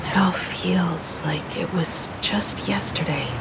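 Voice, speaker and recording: A woman playing a calm, composed young navigator in a video game, speaking in a measured, reflective way. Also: lowish voice, in a whisper, poor audio. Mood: nostalgic, wistful. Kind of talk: scripted video game dialogue. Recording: music behind the voice.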